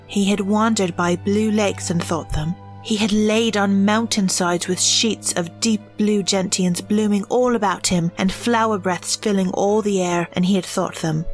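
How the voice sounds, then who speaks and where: A woman giving scripted storybook narration in a measured, reflective way. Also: mid-range voice.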